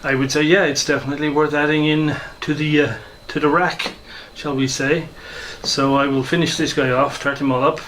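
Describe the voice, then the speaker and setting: A man giving an informal, hesitant and unhurried demonstration of some hobby gear. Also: fairly high pitch, little pitch movement, a bit bright, a little hiss or hum. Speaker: hobbyist video maker and shortwave listener.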